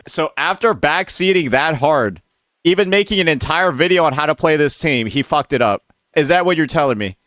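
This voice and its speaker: Brief: male streamer mocking a rival's gameplay, dry close sound, animated delivery. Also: on the high side, normal speed, no room sound. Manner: mocking, profane, exasperated. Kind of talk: reacting to gameplay footage.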